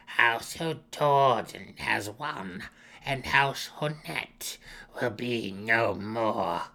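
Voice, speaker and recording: A man voicing a withered old female dark elf matriarch, sly and imperious, in a measured, mysterious fantasy audiobook delivery. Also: mid-range voice, expressive, creaky, exaggerated voice. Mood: cold, mysterious.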